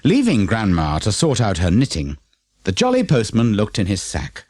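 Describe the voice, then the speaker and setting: A man's voice: lowish voice, wildly swooping pitch, normal speed, darkish tone, slightly rough, no room sound, clean studio sound. A man with a British accent reading a children's story expressively as an audiobook narrator.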